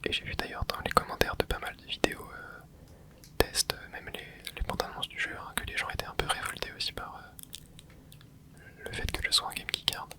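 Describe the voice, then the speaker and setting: An ASMR creator giving soft, whispered commentary while playing a video game, rambling and hesitant with lots of fillers and restarts. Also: normal speed. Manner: soft unvoiced whisper throughout.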